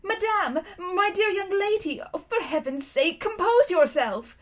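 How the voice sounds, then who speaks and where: Lady putting on a whiny old gentleman from a storybook, trembly, fussy, self-pitying, way up high. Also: animated, unhurried. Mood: panicked pleading. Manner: wailing, trembling, pleading.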